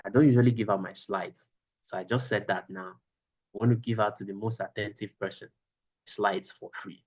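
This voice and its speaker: A man's voice: fairly low pitch, steady tone, normal speed, muffled recording. A man teaching a class over a video call, repeating his phrases for emphasis. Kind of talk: online class lecture.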